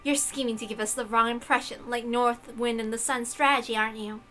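A woman voicing an aloof, sly, unimpressed witch girl with a dry, deadpan delivery in a visual novel. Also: on the high side, fairly quick, faint music behind. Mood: sly, probing.

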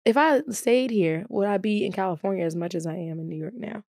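A woman's voice: mid-range voice, brisk, darkish tone, no room sound. Playful woman in her late twenties, dry studio. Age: late 20s. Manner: playful, laughing, boastful. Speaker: podcast co-host. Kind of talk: casual chat. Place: podcast studio with sofa. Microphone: boom mic on arm.

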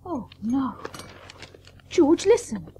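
A woman's voice: sits high, very animated, extremely slow, dark tone, a little hiss or hum, exaggerated voice. An actress in a radio play playing a dismayed Victorian woman. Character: dismayed Victorian woman reading bad news aloud.

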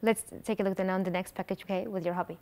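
A woman's voice: mid-range voice, fairly even intonation, racing, noisy background. A young woman interviewing a guest conversationally as the host of a talk show.

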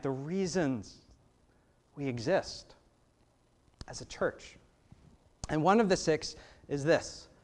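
American guy preaching from a church pulpit, slightly high voice, chatty, keeps asking the room questions. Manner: conversational preaching with rhetorical questions and animated hand gestures. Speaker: pastor. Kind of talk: sermon. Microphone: gooseneck pulpit microphone.

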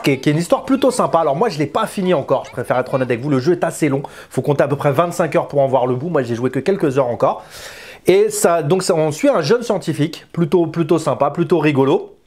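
Guy in his 30s chatting through a game review, voice a bit high, kinda rambly. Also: darkish tone. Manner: conversational, rambling, repeats words for emphasis. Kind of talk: casual spoken video review. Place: small indoor room with bookshelves. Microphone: lapel mic.

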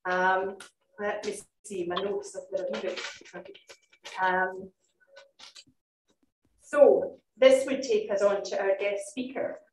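A woman hosting a society meeting, introducing a guest speaker in a halting manner with many ums. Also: medium pitch, darkish tone. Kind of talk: formal introduction. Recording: distant echoey hall, faint noise.

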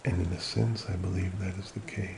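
A man speaking very softly as an ASMR host, slow and soothing, often slipping into a whisper in a creaky murmur. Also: low voice, fairly even intonation, noisy background. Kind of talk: soft-spoken ASMR personal attention, slow guided relaxation.

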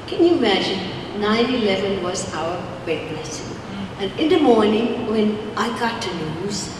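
A woman's voice: medium pitch, expressive, normal speed, noisy background. An older woman giving a rambling welcome speech into a handheld microphone, often repeating and restarting her words. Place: large winery production hall with steel equipment, indoors. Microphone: handheld microphone through a PA. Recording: echoey hall heard from far off through a PA.

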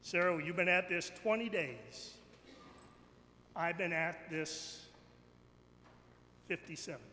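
A man delivers a sermon in a bright, forceful voice, slowly and deliberately. He declaims with weighty pauses and repeated phrases. The sound rings through a large church with some noise behind him. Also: on the high side. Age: middle-aged or older. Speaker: bishop preaching. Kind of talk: sermon, slow and emphatic with pauses. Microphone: pulpit microphone. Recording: echoey church hall, background noise.

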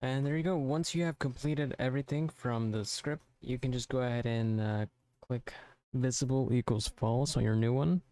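A man narrating a software tutorial informally, thinking aloud step by step into a close mic. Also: medium pitch, normal speed, smooth, dry recording. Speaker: tutorial presenter.

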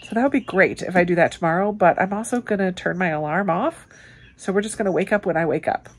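A woman's voice: on the low side, fast, slightly rough. A woman vlogger chatting casually and informally to camera about her day, in an easygoing way. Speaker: book vlogger, host. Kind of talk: casual weekend vlog chat to camera.

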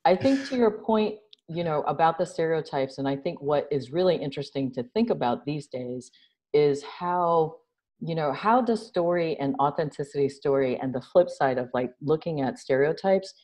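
A middle-aged woman speaks over a video call from a room at home. Her voice is deep and mellow for a woman. She tells stories in an animated way and acts out the other people in them. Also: normal speed. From New York City. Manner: animated storyteller, gestures a lot, laughs. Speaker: guest, social entrepreneur. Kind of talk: casual interview chat. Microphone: video call webcam.

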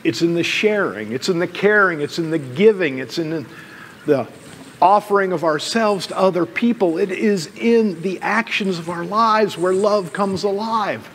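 A middle-aged American man, a pastor preaching a sermon in deliberate, emphatic phrases with pauses. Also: high voice, a bit bright. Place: church room with stained glass windows, indoors. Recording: long hall reverb, some background noise.

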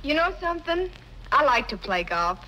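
A woman's voice: high voice, sing-song, moderate pace, pushed and bright. An American woman, a sports professional giving a 1950s radio advertising testimonial. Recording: slightly noisy old radio recording.

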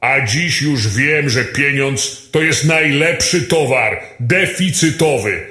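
A man's voice: medium pitch, expressive, takes it slowly, bright, forceful tone. A man playing a greedy despotic tycoon villain in an amateur radio play, smug and gloating, drawing out his words. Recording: roomy echo, faint music behind.

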